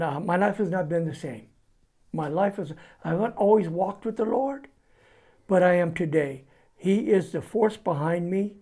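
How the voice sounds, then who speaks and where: A man in his seventies with a Californian American accent tells his life story in an interview, plain-spoken and halting. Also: fairly high pitch, a little mellow. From California, United States. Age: 70s. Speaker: interview guest giving testimony. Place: indoors before a cloth backdrop.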